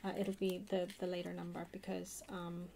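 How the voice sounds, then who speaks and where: A soft-spoken woman chatting casually in a rambling way for an ASMR haul video. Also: fairly low pitch, completely flat delivery, normal speed. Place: indoors at a table, hands-only camera. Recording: clear background hiss or noise.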